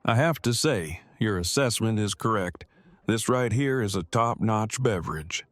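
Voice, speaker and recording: A low, dry-recorded voice from a male actor playing an odd brainy man in an audio drama. He is pompous and socially awkward, speaking in stiff, formal, wordy sentences. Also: expressive, unhurried, a bit bright, no echo. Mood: formal, earnest. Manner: stiff, verbose, formal wording. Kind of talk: fan audio drama dialogue.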